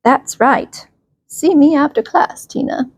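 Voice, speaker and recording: A woman's voice: high voice, slow pace, voice pushed up. A woman voicing a strict, preachy storybook health teacher with a greedy, gleeful streak and an emphatic delivery. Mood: stern, smug. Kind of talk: storybook character voice.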